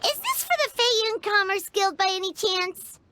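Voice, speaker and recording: A woman voicing a tiny, excitable, childlike fairy companion in a video game, chatty and emphatic, with a squeaky voice. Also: moderate pace, full character voice. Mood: curious. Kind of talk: animated video game voice acting.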